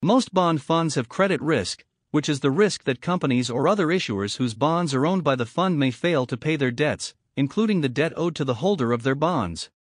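Male reader for scripted exam samples; fairly high voice, measured delivery, very dry recording. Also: animated, dry recording. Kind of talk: scripted read-aloud.

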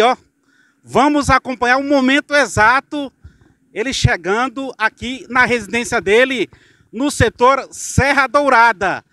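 A man's voice: very high-pitched, dry recording. A middle-aged Brazilian man reporting and interviewing outdoors in a residential street, holding a handheld mic. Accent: Brazilian Portuguese. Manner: energetic presenter, prompting questions.

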